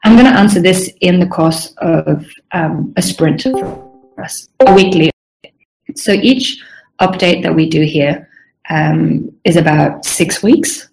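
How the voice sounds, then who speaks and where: A woman's voice: low voice, slow, darkish tone, soft background music, rough recording. A young woman from Sweden and Southern Africa with a slightly strained throat, explaining her work as a game designer in an informal interview. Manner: frequent throat clearing. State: strained voice, needing to clear throat.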